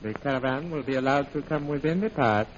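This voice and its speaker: An actor playing a servile henchman in an old radio serial, reporting to his master in a formal, measured, deferential way. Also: medium pitch, lightly acted. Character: obedient, deferential male henchman of a villain. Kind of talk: 1930s radio serial acting. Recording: muffled, noisy vintage radio recording.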